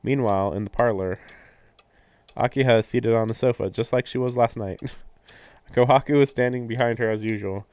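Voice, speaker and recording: A man's voice: medium pitch, steady tone, moderate pace, darkish tone, clean tone, no room sound. A man reading a visual novel's narration aloud on a stream, breaking into casual commentary.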